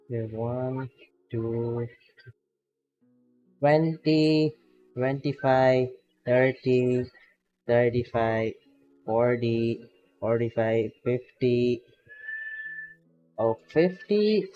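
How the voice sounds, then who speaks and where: A man's voice: medium pitch, expressive, takes it slowly, pauses often, darkish tone, muffled recording, soft background music. A man streaming a game as a VTuber, chatting casually with a friend, laughing often and reacting to game results. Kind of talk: casual gaming stream chat.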